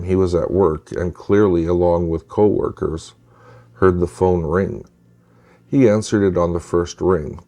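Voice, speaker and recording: A man narrating spooky true stories in a scripted, measured and deliberate storytelling style. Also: low-pitched, mellow.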